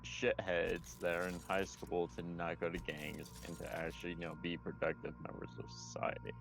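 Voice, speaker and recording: A man's voice: sits low, completely flat delivery, moderate pace, gravelly, music in the background. A man chatting casually with friends over gaming voice chat, sweary and exasperated.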